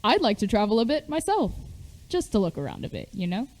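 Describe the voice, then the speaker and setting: A woman's voice: mid-range voice, huge pitch swings, fairly quick, a little mellow. A woman playing an eager, polite, curious young lad in an amateur radio play. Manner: eager, questioning.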